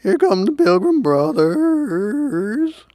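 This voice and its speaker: Panicky turkey from a kids storybook, super high and wailing, begging, all over the place. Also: male voice, sing-song, drawn-out words, dark tone, dry recording, studio quality, full character voice. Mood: dismayed. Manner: frantic, wailing, pleading. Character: panicky male turkey fleeing from being cooked. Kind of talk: storybook character voice.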